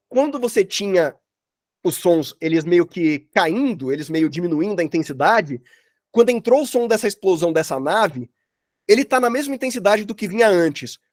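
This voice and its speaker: A man's voice: high-pitched, darkish tone, no echo, clean studio sound. A man with a Brazilian accent giving an informal online lesson as a sound designer, explaining with colloquial examples and slangy asides. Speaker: sound designer teaching a workshop. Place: indoors, on a video call.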